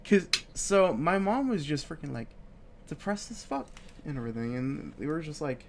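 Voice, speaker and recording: A man's voice: a little high, lively intonation, moderate pace, slight background noise. A young man co-hosting a podcast in casual chat, sniffly from a cold, rambling with lots of fillers and swearing. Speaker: podcast co-host. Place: home studio, live-streamed.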